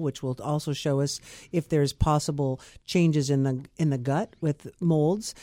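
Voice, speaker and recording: A woman, a guest doctor on a radio show, explaining clinical topics in a measured way. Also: sits low, mellow, dry recording, studio quality. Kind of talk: radio interview.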